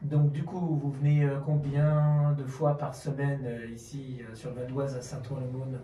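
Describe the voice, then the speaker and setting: Hesitant mellow male radio interviewer. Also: medium pitch, flat delivery, moderate pace. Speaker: radio host interviewing athletes. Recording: roomy, slightly distant mic.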